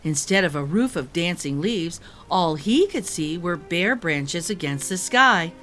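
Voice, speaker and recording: A woman narrating a children's picture book in an expressive, careful storytelling manner. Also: on the low side, measured pace, faint music behind. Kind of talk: expressive storybook read-aloud.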